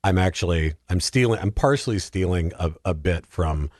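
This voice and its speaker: Man, low voice, earnest explainer, emphatic, casual podcast talk, dry close sound. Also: animated, normal speed, no room sound. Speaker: guest on a film discussion podcast.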